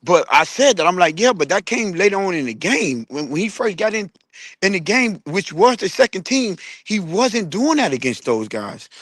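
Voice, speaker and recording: A man talks football in a loose, rambling way, stopping and restarting often and throwing questions to the panel. His voice sits a bit high for a man. The sound is close and dry. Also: sing-song, moderate pace, fairly bright tone, no room sound. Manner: rambling, many restarts and fillers. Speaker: podcast host. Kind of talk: casual sports chat. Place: online audio room.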